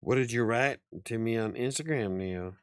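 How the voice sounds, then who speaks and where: A man hosting a casual after-hours chat show, rambling, laughing often and swearing now and then, with a slightly raspy voice. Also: mid-range voice, normal speed, no room sound, studio quality. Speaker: host.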